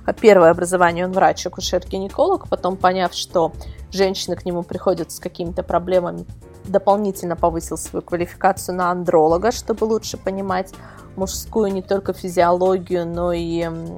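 A woman's voice: lowish voice, little pitch movement, brisk, darkish tone, faint music behind. A woman speaking as a guest expert in a casual interview, explaining things and often searching for words. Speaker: guest expert, web portal editor.

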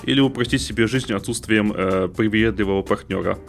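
A man's voice: fairly low pitch, brisk, gravelly, soft background music. A man co-hosting a podcast in casual conversation. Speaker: podcast co-host.

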